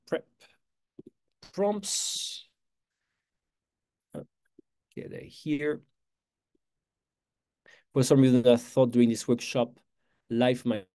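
Host: man, 40s, French accent, talks fast and loose, restarts sentences, laptop mic. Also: lowish voice, mellow. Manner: fast, chatty, self-correcting, with quick laughs. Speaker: workshop host. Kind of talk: live online workshop with screen share.

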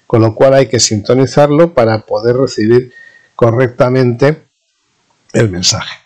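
A man with an accent from Spain gives an informal technical tutorial as an instructor, explaining haltingly and checking in with his listeners. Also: mid-range voice, darkish tone. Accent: Spanish (Spain). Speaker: university professor, instructor.

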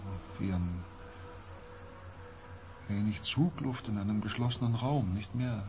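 An actor playing a weary, haunted empathic explorer in a science-fiction radio play, speaking haltingly and deliberately. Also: male voice, lowish voice, warm tone, slight background noise, close to an everyday voice. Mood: uneasy, wondering.

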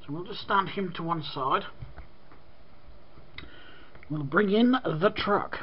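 A slow-talking British man with a fairly high, croaky voice, chatting his way through a toy review, pausing for ums and throat clearing. The sound is dull and lo-fi, caught by a camera mic in an indoor room. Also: expressive, a little hiss or hum. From Britain. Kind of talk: casual product review.

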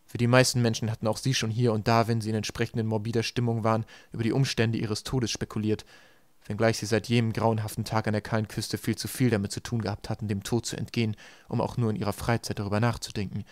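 A man's voice: fairly low pitch, flat delivery, fast, no room sound. A man reading scripted audiobook narration as the storyteller.

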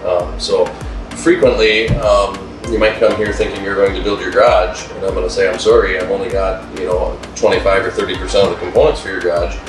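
A middle-aged man with a low voice answers interview questions about his lumber business, speaking plainly and dropping frequent "um"s. He sits a little away from the mic in a wood-paneled room, so his voice carries a roomy echo. Music plays clearly behind him. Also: level tone, brisk, far from the mic. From Wisconsin, USA. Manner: plain-spoken, frequent "um" fillers.

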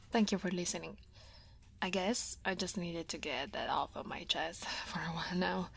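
A woman from Italy voicing a guarded but teasing female dragon office senior in a soft, breathy ASMR roleplay. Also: medium pitch. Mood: wistful, confiding. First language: Italian. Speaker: voice actor.

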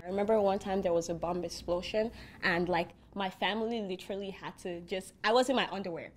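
A woman's voice: mid-range voice, a little fast, slight background noise. Emphatic young woman. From Nigeria. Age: 20s. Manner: emphatic, repeats phrases for effect. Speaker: university student interviewee. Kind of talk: earnest documentary interview. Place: indoors by a large window.

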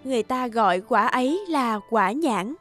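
A woman narrating a fairy tale for an animated story in a gentle, measured way. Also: fairly high pitch. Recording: music bed behind voice.

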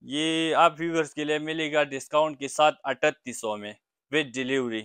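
A young man selling blankets in his small shop, eagerly pitching prices and agreeing with quick repeated yeses. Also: steady tone, a bit bright, smooth, no echo. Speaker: blanket shopkeeper. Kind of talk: sales pitch to a vlogger.

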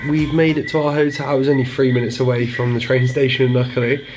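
A man's voice: medium pitch, flat delivery, quick pace, faint music behind, rough recording. A man in his twenties chatting casually and informally to a handheld camera as a travel vlogger. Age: 20s. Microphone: camera at arm's length.

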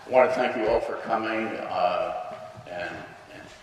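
An older man offers closing thanks at a ceremony in a halting way. His voice is deep and slow, with heavy echo from the large hall. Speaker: veterans' post member giving closing thanks. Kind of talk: informal closing remarks at a ceremony. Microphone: podium microphone. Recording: distant, echoey hall, faint noise.

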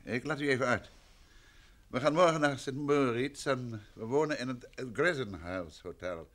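A man's voice: mid-range voice, normal speed, fairly bright tone, close to an everyday voice. An actor playing a courteous, self-assured male detective in an old Dutch radio play. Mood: matter-of-fact. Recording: vintage radio recording with noise.

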